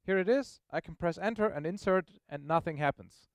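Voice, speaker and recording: High-pitched man presenting. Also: fairly quick, no room sound, clean studio sound. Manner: informal, explanatory, checks in with questions. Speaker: software presenter. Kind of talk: live technical demo to an audience. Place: meetup presentation room, on stage.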